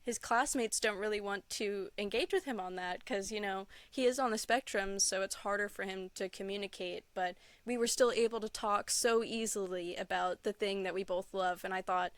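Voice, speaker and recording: A woman speaks as a guest in a casual interview, with a smooth, clear voice. She tells her stories with earnest enthusiasm, and the sound is close and dry. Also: medium pitch, moderate pace, hardly any pauses, dry recording. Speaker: podcast guest, Pokémon content creator; store employee. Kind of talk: casual interview chat, anecdotes.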